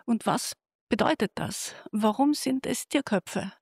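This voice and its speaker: A woman's voice: fairly high pitch, lively intonation, a little slow, vocal fry, darkish tone, husky, no echo, clean studio sound. A woman leading an interview with an artist, asking curious questions and steering the talk from one picture to the next. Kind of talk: gallery interview.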